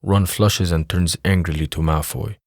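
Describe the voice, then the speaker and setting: A man's voice: sits low, fairly even intonation, normal speed, a little mellow, no echo, clean studio sound. A man reading scripted narration for an audio drama.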